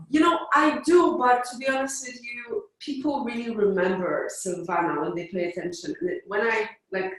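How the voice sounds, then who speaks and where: A woman's voice: on the high side, normal speed, distant mic. A woman with a Polish accent, speaking in an earnest, hesitant way and often searching for the right words. Her voice echoes heavily around a big room. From Poland. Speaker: workshop participant, entrepreneur coach.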